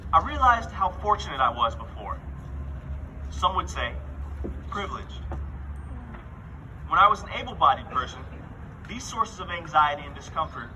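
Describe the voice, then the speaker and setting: A man giving an earnest, measured prepared speech at a rally outdoors. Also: fairly high pitch, pushed and bright, far from the mic, muffled recording, slight background noise. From Worthington. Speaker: speaker at a justice rally.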